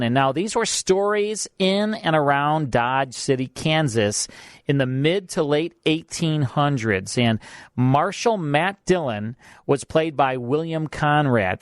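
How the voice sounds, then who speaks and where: A man's voice: a little high, lively intonation, measured pace, no room sound, clean studio sound. A man with an American accent hosting a radio show in a casual, chatty and emphatic way. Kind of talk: casual radio banter and introductions. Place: radio studio.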